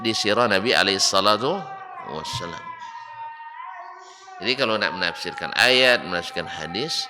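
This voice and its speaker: A male Islamic preacher, with a lilt, giving a lecture and rising to loud, emphatic rebukes and mocking rhetorical questions. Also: on the low side. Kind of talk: religious study lecture. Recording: reverberant hall with faint background noise.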